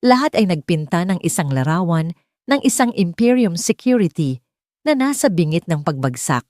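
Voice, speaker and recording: A woman's voice: lowish voice, sing-song, moderate pace, a little mellow, no room sound, studio quality. A woman with a Filipino accent narrating a dramatic scripted audiobook story.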